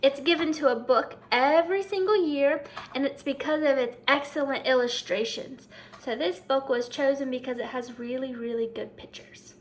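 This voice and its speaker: A woman with a high voice reads a children's picture book aloud as a teacher would. She narrates and comments, addressing young listeners directly and prompting them to think. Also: moderate pace.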